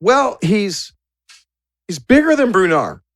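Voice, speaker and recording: A man narrating a tabletop game as game master, searching for words in drawn-out descriptive phrases. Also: sits high, very animated, no room sound.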